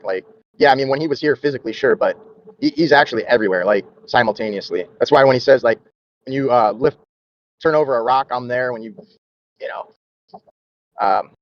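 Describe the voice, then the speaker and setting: A man's voice: mid-range voice, no echo, lo-fi sound. A man in a casual discussion, speaking hesitantly with many fillers.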